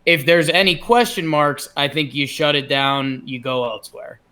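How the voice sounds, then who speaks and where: A man co-hosting a sports podcast, giving casual analysis while informally thinking out loud. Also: a little high, moderate pace, clean tone.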